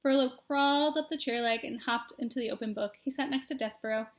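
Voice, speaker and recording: A woman reads a children's story aloud. Her voice is high. She narrates expressively, addressing young listeners as a storyteller would. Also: brisk. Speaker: storybook reader.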